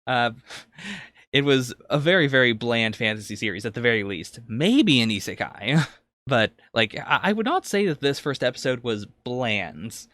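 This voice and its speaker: A man's voice: medium pitch, expressive, normal speed, a bit bright, no echo. A man with a mid-range voice co-hosts a podcast in a dry-sounding recording. He talks casually through a review, often hedging his opinions. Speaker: podcast co-host.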